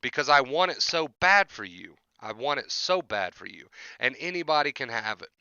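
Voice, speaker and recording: A man's voice: mid-range voice, big pitch swings, normal speed, bright, forceful tone, clear, resonant tone, no room sound, lo-fi sound. A young man hosting a motivational livestream, speaking emphatically and informally with lots of repetition, his voice a little tired from overuse. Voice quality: voice tiring from overuse. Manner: emphatic, repetitive, often checks with "right?". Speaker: livestream host, anxiety recovery coach. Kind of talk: motivational rant to live chat.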